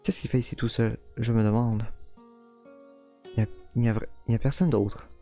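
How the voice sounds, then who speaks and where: A man's voice: medium pitch, steady tone, brisk, dark tone, soft background music, natural voice. A man voicing an earnest young foreign woman in a visual novel who stammers when flustered. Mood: musing. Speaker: streamer reading a visual novel. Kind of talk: let's play voice reading.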